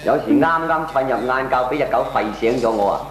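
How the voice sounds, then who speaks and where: A man's voice: medium pitch, reverberant, close to an everyday voice, low-quality recording. An actor playing a mild man who reasons his way out of confrontation in a radio comedy, lecturing soothingly in colloquial Hong Kong Cantonese. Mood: teasing, grumbling. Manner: placating, lecturing, drawn-out colloquial delivery.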